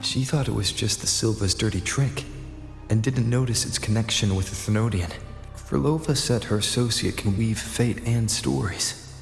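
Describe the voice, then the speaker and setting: An actor playing a composed young male game hero who reasons through his plans in a measured, serious way. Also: fairly low pitch, vocal fry. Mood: grave, thoughtful. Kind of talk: scripted game voice acting. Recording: echoey, long reverb, music behind.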